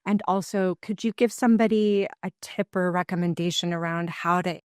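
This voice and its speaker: A woman hosts an interview podcast in a slightly lower voice. Her questions are long and reflective, and she builds them slowly. The sound is close and dry. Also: fairly even intonation, clean tone, no echo. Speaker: podcast host.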